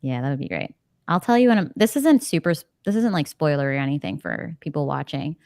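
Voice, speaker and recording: A woman hosting a casual book club chat over a video call, talking in an exclamatory, animated way. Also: sits low, fast, no echo. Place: indoor room, video call.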